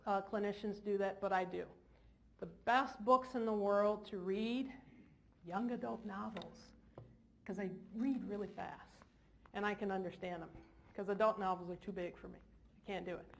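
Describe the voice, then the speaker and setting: A woman's voice: medium pitch, creaky. A woman clinician giving an informal lecture in a large hall, with jokey, self-deprecating asides. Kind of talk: informal lecture to a live audience.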